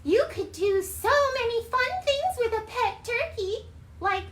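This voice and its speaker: Very high, chirpy puppet bird. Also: female voice, lively intonation, unhurried. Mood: bright storytelling. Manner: theatrical, exclaiming, with droll asides. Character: chirpy, excitable female puppet bird who reads storybooks. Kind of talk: casual read-aloud with playful asides on a livestream. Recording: roomy echo, faint background noise.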